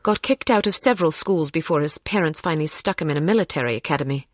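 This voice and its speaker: An actress playing a self-possessed Scottish secret agent in a video game, cool, articulate and wry, with a Scottish accent. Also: female voice, low voice, lively intonation, quick pace, no echo, studio quality. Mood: matter-of-fact.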